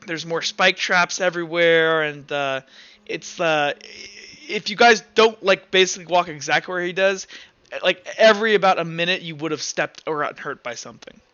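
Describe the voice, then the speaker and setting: Man, game master for a tabletop RPG, bright high voice, improvised narration, dull recording. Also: normal speed.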